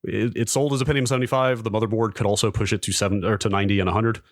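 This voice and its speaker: A man with a slightly low voice co-hosts a podcast at a brisk clip. He tells casual anecdotes in a geeky, emphatic way. The sound is dry, with no room on it. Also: steady tone, no room sound. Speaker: podcast co-host. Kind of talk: casual chat, recounting anecdotes.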